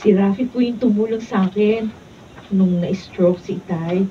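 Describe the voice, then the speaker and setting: A dull, distant recording carries an actress as an earnest young Filipina student. Her voice is mellow and sincere, in the manner of radio drama, with the devotion of a loving daughter and girlfriend. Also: medium pitch, normal speed, close to an everyday voice. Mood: pleading. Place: radio drama studio, picked up from afar. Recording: distant, dull, noisy room camera recording.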